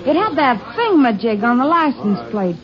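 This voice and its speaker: Rural American woman witness, high voice, folksy, emphatic, chatty, dulled vintage radio western sound. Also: sing-song, normal speed, crowd noise. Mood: eager, sure. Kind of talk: 1950s radio western acting. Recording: muffled 1950s radio recording.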